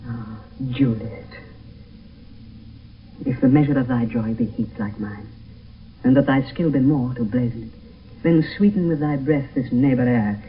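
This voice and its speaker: A man's voice: mid-range voice, slight background noise, natural voice. A man playing a character in a Shakespeare tragedy on radio, speaking verse in a declamatory, poetic way. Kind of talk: 1970s radio Shakespeare acting. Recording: muffled phone-like vintage radio broadcast, distant.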